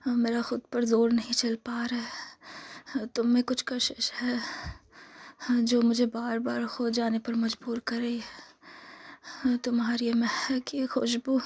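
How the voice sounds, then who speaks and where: A woman performs a lonely vampire seductress in an intimate ASMR role-play. Her voice is very breathy and husky, slipping into whispers, with a subdued, narrow melody. It stays soft and dark in tone as she lingers over coaxing, possessive words. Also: on the high side, exaggerated voice. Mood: entranced, apologetic.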